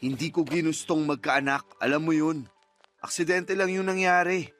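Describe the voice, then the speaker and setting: Lalaking gumaganap bilang malamig, makasariling lalaki, mataas-taas ang boses. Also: male voice, a little high, steady tone, normal speed, fairly bright tone, faint music behind, close to an everyday voice. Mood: cold, dismissive. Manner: cold, blunt, dismissive. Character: callous, selfish man walking out on partner and child. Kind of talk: Tagalog radio drama acting.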